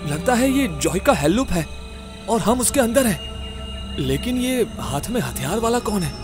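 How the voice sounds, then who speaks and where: Man playing a brash, very high-pitched young investigator. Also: big pitch swings, moderate pace, music in the background. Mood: exasperated, puzzled. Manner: brash, scolding, urgent. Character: bold, bossy, exasperated young male ghost-case investigator. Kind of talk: comic horror audio drama.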